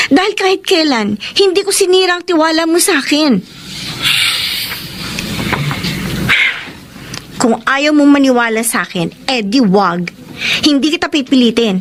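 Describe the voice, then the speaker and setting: Casting: stubborn, wounded young Filipina girlfriend for radio melodrama, high voice, lively swings, emphatic and emotional. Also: a little hiss or hum. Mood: hurt, pleading.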